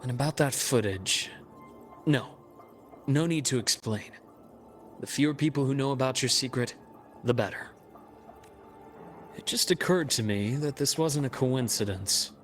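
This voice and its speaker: A man voicing a composed, analytical investigator in a game, speaking in a measured, explanatory way. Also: mid-range voice, soft background music, close to an everyday voice. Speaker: game character. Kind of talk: game cutscene voice acting.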